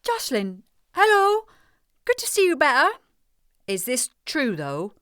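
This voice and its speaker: A woman's voice: high-pitched, wildly swooping pitch, measured pace, a bit bright, no room sound, clean studio sound, exaggerated voice. A woman playing a cheeky, forthright village woman who teases and challenges in an audio drama. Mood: challenging. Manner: playful, challenging.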